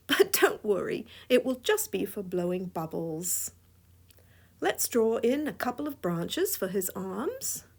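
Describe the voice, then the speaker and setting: A woman's voice: on the high side, gravelly, a little mellow. A woman with a scratchy voice giving a drawing tutorial voice-over, playful and chuckling often. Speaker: drawing instructor.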